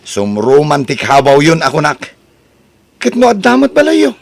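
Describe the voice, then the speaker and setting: A man's voice: fairly high pitch, huge pitch swings, moderate pace, creaky, exaggerated voice. A man playing an irritable grown son who scolds his parents in a radio drama. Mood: exasperated. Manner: exasperated, scolding.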